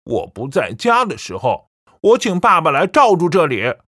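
A woman's voice: on the low side, very animated, moderate pace, no room sound, studio quality. A woman doing a cold, defiant young son. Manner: cold, scornful, lecturing.

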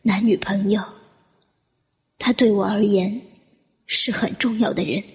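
A woman playing an injured fire survivor in an audio drama, with a dramatic, deliberate delivery. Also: mid-range voice, steady tone, close to an everyday voice. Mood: subdued, guarded. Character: injured woman fire survivor, anguished then coolly guarded, narrating her ordeal. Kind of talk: Chinese audio drama acting with introspective narration. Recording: echoey hall-like reverb, music underneath.

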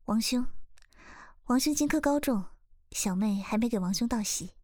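A woman playing a young lady of the house in a Chinese costume audio drama, polite, measured and formal. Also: fairly high pitch, lively intonation, darkish tone. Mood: polite, composed. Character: composed, gracious young gentlewoman, mistress of the house.

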